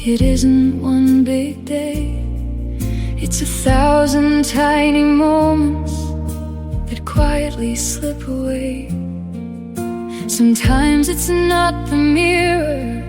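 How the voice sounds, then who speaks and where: AI-generated female singer, high voice, very slow ballad, loud guitar behind. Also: steady tone. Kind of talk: slow wistful ballad singing. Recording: AI-generated song with loud music. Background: guitar accompaniment.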